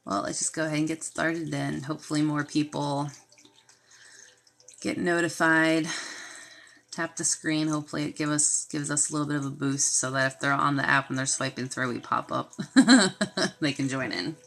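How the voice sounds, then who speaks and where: Low, gravelly woman, sniffly. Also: fairly even intonation, normal speed. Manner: chatty, greets viewers by name. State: sniffly from a sinus infection. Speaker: reiki healer hosting a live stream. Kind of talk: casual live-stream chat. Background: desk fountain and quiet music.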